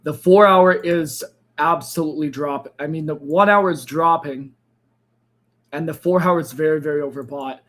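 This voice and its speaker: Hoarse man hosting a trading livestream. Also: moderate pace, a bit bright. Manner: tired, low-energy sign-off with frequent fillers. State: hoarse, losing his voice. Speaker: crypto trading livestream host. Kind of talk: casual livestream talk to viewers.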